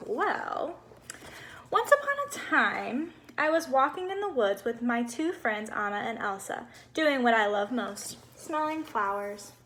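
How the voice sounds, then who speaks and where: A woman voicing a cheerful, naive little male snowman who tells his storybook tale in a bubbly, expressive way. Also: on the high side, a little slow, slight background noise. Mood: eager, friendly.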